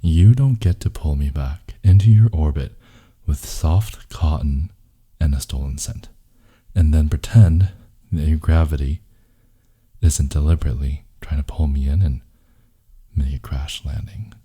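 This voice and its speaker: Guy voicing a moody clingy asmr boyfriend, super low n slow, raspy breathy half whisper, possessive and hurt. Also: dark tone, exaggerated voice. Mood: hurt, accusing. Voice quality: very low, breathy, husky, often dropping to a whisper. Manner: slow, intense, teasing murmur with dramatic pauses. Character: grumpy, possessive, wounded yandere boyfriend. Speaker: audio roleplay voice actor. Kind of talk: intimate ASMR boyfriend roleplay.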